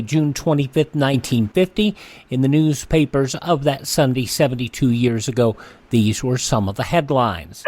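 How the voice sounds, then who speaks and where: Man hosting a classic radio show. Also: fairly high pitch. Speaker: radio host.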